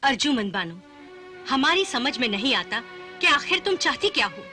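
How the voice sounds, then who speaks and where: A woman's voice: sits high, sing-song, normal speed, music in the background. An actress playing an exasperated, imperious noble mother in a period serial, haughty and scolding. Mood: exasperated, stern. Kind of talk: formal Urdu-flavoured period serial acting.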